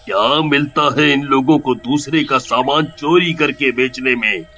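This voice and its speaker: A man voicing a sly male ghost who wanders a thieves' market, musing slowly and chuckling, then scolding the thieves. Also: medium pitch, pushed and bright. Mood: disapproving.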